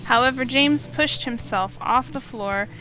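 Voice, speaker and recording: A woman with a fairly high voice reads first-person game narration aloud. She tells it as a dramatic story, in the manner of a let's play. Music plays behind her. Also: unhurried, sharp tone, rough recording. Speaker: let's player reading the heroine's narration aloud.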